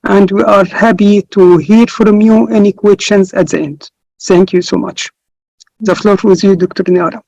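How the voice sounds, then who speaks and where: An Egyptian man speaks in a fairly high-pitched voice, halting often as he searches for words. He presents himself formally as an academic. The sound is muffled and very dry, like an online call. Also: fairly even intonation, creaky, a little mellow, no echo. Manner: halting, hesitant delivery. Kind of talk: conference self-introduction. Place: virtual conference call.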